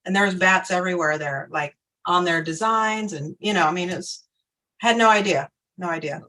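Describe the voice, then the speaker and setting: A woman's voice: lowish voice, normal speed, bright, forceful tone. A woman chatting casually, rambling and trailing off. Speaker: guest speaker. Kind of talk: casual chat. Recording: distant from the mic.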